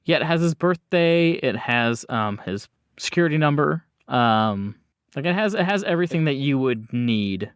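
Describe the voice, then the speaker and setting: A man acting as game master, improvising horror narration in a conversational way with pauses and bursts. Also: on the high side, animated, smooth, no room sound.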